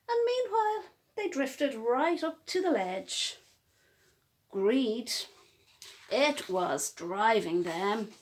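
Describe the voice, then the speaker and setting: High, animated woman reading a children's story. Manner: dramatic, emphatic, with drawn-out words and pauses. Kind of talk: expressive picture-book storytelling in rhyme.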